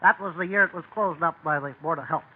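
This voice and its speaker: An actor playing a pompous, long-winded small-town know-it-all in an old-time radio comedy. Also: male voice, on the high side, fast talker, narrow, tinny audio, lightly acted. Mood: self-important. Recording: thin, noisy old radio recording.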